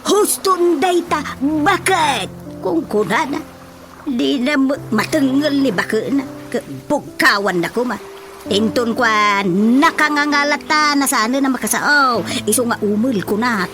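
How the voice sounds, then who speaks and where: A chatty village neighbor with a high voice, teasing and complaining. She plays it in radio comedy drama style over a prominent music bed. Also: expressive, measured pace.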